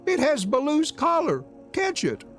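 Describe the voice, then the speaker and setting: An anxious, excitable young boy, done by a grown man, urgent and pleading. The voice is pushed high and jumps around in pitch, over faint music. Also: a little slow, full character voice. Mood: frantic. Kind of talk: dramatic reading of a visual novel.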